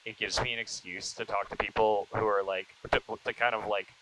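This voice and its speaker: A man hosting a casual interview, talking in a halting, rambling way with repeated false starts. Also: lowish voice, level tone, fairly bright tone, poor audio. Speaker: podcast host, interviewer. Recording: noticeable background noise.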